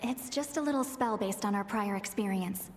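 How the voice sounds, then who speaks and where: An actress playing a calm, scholarly young woman in a video game, explaining in a measured, articulate way. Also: medium pitch, soft background music, lightly acted. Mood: discreet. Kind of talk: video game dialogue, expository.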